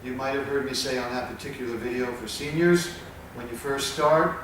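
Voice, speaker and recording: A man explains movements slowly and patiently, breaking his sentences into short pieces. He stands well away from the mic in a very echoey space. A steady hiss of noise sits under his voice. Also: medium pitch. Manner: plain, patient explaining with pauses. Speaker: tai chi instructor. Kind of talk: spoken instruction while demonstrating. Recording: echoey, distant with background noise.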